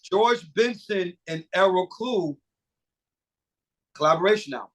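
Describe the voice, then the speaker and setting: Animated middle-aged man, fairly high voice. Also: unhurried, rough recording. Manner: animated, swears freely, many fillers and restarts. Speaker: guest singer and producer. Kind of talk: casual interview chat.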